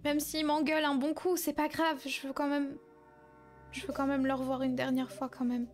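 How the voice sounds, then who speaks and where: A woman's voice: high voice, flat delivery, normal speed, natural voice. A woman playing an earnest, awkward young film actress in a game roleplay, talking informally and hesitantly. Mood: worried, earnest. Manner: hesitant, informal, repeats herself.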